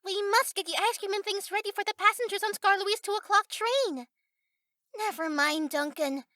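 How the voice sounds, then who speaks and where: A woman's voice: extremely high pitch, normal speed, sharp tone, no echo, studio quality. A woman playing a bossy, cheeky little girl in a children's storybook reading. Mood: matter-of-fact. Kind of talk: children's storybook character acting.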